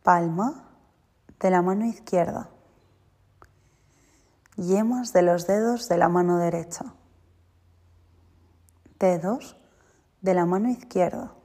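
A woman guiding a yoga nidra relaxation as a teacher, giving short, soothing, deliberate instructions. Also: fairly low pitch, dark tone. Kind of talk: guided yoga nidra meditation. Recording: long reverberant hall-like echo.

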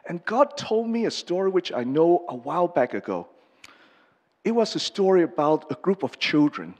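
Earnest halting pastor in a reverberant hall. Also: male voice, a little high, very animated, a little mellow. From Hong Kong. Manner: earnest and halting, with many pauses and restarts. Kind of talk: personal testimony sermon. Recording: long reverb of a large hall.

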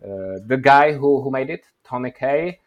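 A man from the Czech Republic with a Czech accent and Czech as his native language, answering interview questions in a measured, thoughtful way. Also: mid-range voice, lively intonation, highs cut off. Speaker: interview guest, workshop presenter.

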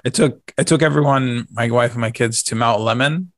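A man's voice: medium pitch, moderate pace, dry recording, clean studio sound. A man who sounds like he is in his thirties, chatting casually as a podcast co-host. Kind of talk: casual chat.

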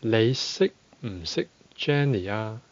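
A man teaching a podcast language lesson, speaking slowly and deliberately and repeating phrases for learners. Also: fairly low pitch, animated, dull audio.

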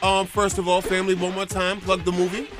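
Male host, 40s, very high voice, rapid slangy ribbing, music clearly behind. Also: completely flat delivery. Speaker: talk show host, DJ. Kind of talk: casual banter.

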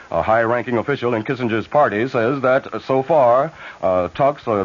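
A man with an American accent reading a news bulletin on 1970s radio. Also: medium pitch. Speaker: radio newsreader. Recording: muffled vintage AM radio recording.

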